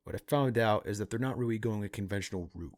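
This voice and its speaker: A man playing a cynical, pragmatic partner in crime to a vigilante in an ASMR roleplay, talking in a casual, halting, conspiratorial way. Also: fairly low pitch, close to an everyday voice. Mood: businesslike planning. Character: cynical, pragmatic male criminal accomplice to a vigilante.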